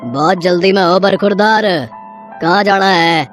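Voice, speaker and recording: Woman acting as male traffic constable, stern, scolding, gruff, story drama, background music. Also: lowish voice, unhurried, lightly acted.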